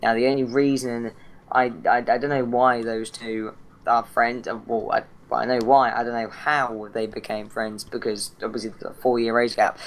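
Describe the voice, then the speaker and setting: A teenage British boy, hoarse and croaky from a sore throat, introducing his gaming channel in a rambling, chatty way full of fillers and restarts. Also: medium pitch, little pitch movement, moderate pace. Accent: British English. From Britain. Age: teens. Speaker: channel host.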